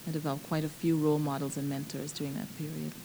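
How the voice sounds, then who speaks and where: A woman surgeon in her forties, answering interview questions in an animated, emphatic way. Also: low-pitched, fast, creaky, a little hiss or hum, poor audio. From Bahamas.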